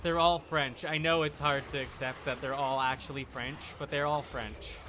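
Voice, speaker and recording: A man giving casual, deadpan joking live commentary while playing a video game. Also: mid-range voice, moderate pace. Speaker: game streamer. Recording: clear background noise.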